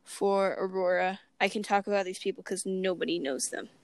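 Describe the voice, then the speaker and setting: A woman's voice: medium pitch, level tone, fairly quick, a little mellow, no room sound. Young woman chatting, dry close sound. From Oklahoma, United States. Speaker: podcast co-host. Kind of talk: casual chat, personal storytelling.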